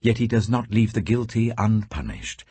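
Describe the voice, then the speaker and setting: A man's voice: fairly low pitch, very animated, moderate pace, mellow, breathy edge, pitched above the performer's own voice. A British man voicing an earnest, faithful Israelite leader, urgent and impassioned, in a scripture audiobook. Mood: impassioned pleading.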